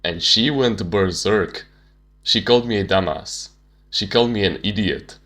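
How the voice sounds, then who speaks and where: Deep-voiced young man. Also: measured pace, a bit bright. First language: Slovak. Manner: casual, slangy, candid. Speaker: self-improvement channel host. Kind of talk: personal storytelling monologue.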